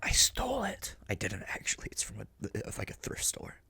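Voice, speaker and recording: A man's voice: on the low side, wildly swooping pitch, moderate pace, creaky, bright, forceful tone, breathy edge, in a whisper. A man giving casual, rambling commentary as a reaction host, emphatic, often tagging on "right?" and swearing freely. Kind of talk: casual spoken commentary on an audio drama.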